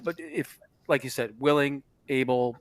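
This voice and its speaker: A man's voice: medium pitch, sing-song, a little slow, vocal fry, no echo. Male podcast host, dry close sound. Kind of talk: casual conversational hosting.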